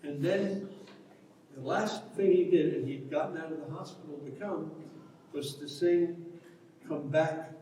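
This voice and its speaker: A man's voice: on the high side, unhurried, long pauses, warm tone. An older man acting as event host, giving informal closing remarks to a gathering. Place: large echoing hall at a public event. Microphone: distant microphone. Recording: very echoey hall from far off, faint noise.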